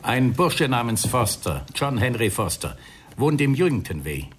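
An actor playing a composed, dogged police inspector in a radio crime drama, speaking politely in measured phrases. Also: male voice, mid-range voice, very animated. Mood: polite, probing. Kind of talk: 1990 German radio crime drama acting. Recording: radio play with clear background noise.

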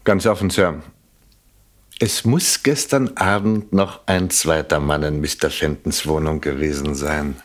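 Radio crime drama: shrewd police inspector, deep voice, polite, measured, drily probing. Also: male voice.

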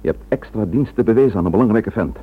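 An actor in a Dutch radio drama playing a stern, gruff police commissioner with a curt, authoritative manner. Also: male voice, lowish voice, animated, fast talker, phone-quality sound. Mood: gruff, disapproving. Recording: noisy, thin old radio recording with hum.

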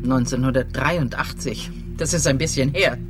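An actress playing a proud, prim village post office clerk in a radio crime drama, officious and pedantic. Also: female voice, extremely low pitch, moderate pace, over music. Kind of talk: naturalistic German radio crime drama acting.

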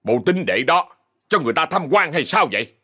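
A man voicing a cold, domineering rich old landowner who speaks gruffly and commandingly. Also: a little high, very animated, a little fast, bright, forceful tone, voice pushed up, heavily acted. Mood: scolding.

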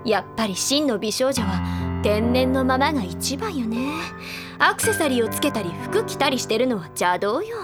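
High lively voice, confident schoolgirl senior, teasing, playful, theatrical, audio drama. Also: moderate pace, over loud music. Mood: gleeful, teasing. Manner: self-assured, playful, theatrical. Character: confident, teasing schoolgirl painter and orchestra leader. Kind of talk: Japanese audio drama acting.

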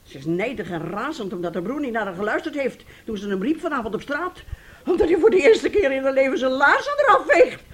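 A woman's voice: high voice, sing-song, fairly quick, slight background noise. An actress in a Dutch radio play playing an indignant, protective middle-aged mother with a stern, reproachful, theatrical manner. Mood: dismissive, angry.

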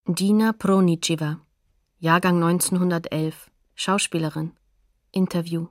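Woman announcer reading documentary credits, neutral, lowish voice, super dry studio. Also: fairly even intonation, unhurried, no echo. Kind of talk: scripted documentary narration, neutral source announcements.

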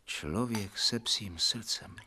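An actor playing a hot-tempered young doctor in a radio play, vehement and agitated. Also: male voice, fairly low pitch, sharp tone, breathy edge, full character voice. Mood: disgusted.

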